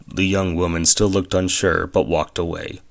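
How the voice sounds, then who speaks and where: A man's voice: sits low, level tone, moderate pace, gravelly. A man narrating an audio drama in the third person.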